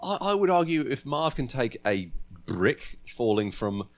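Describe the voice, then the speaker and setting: A man co-hosting a casual podcast, debating in a measured, mock-serious way. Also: mid-range voice, very animated, lo-fi sound. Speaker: podcast co-host.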